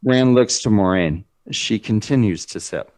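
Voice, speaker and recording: A man's voice: fairly low pitch, a little slow, darkish tone. A man narrating stage directions as scripted narration in a screenplay table read.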